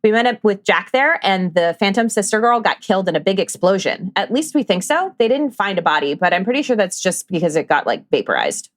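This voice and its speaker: A woman's voice: medium pitch, big pitch swings, brisk, hardly any pauses, dry recording, clean studio sound, lightly acted. A woman playing a chatty, earnest amateur ghost hunter in an audio drama, rambling in a breezy conversational way. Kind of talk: audio drama table read.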